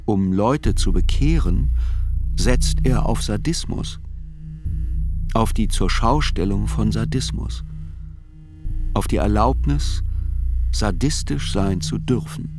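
An actor playing a sober court chronicler, narrating a radio play in a measured, grave and deliberate manner. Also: male voice, on the low side, expressive. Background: music.

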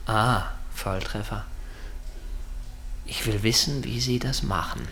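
A man's voice: fairly low pitch, takes it slowly, bright, forceful tone, exaggerated voice. An actor playing a coolly insistent, menacing captor questioning his prisoner in a German radio drama. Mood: probing.

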